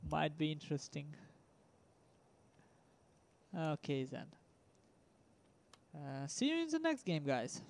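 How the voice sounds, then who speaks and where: A man with a Russian accent casually commentating a strategy game match, talking haltingly with many ums and uhs and small laughs. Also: fairly high pitch, huge pitch swings, creaky, darkish tone. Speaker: esports commentator.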